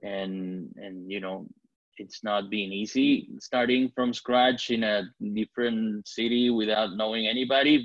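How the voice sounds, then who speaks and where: Low flat-voiced man on a video call. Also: no echo. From Venezuela. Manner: hesitant, many fillers and restarts. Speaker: film director. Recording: dull lo-fi video call audio.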